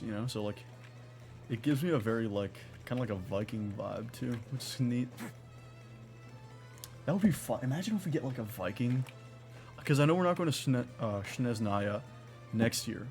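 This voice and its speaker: A man's voice: medium pitch, expressive, moderate pace, darkish tone, soft background music. A man giving casual live gameplay commentary as a streamer, musing aloud in a rambling way. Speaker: game streamer.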